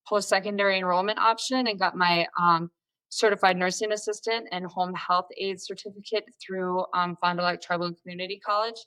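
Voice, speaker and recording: A woman's voice: on the low side, a bit bright, smooth, low-quality recording. A woman in her thirties from northern Minnesota, a podcast guest who speaks candidly with frequent hesitations. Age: 30s. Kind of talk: conversational interview.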